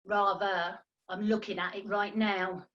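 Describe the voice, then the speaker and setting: A woman's voice: mid-range voice, little pitch movement, measured pace, highs cut off, close to an everyday voice. A woman playing a haughty, tart, washed-up singer in a comedy radio play. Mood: dry, sulky.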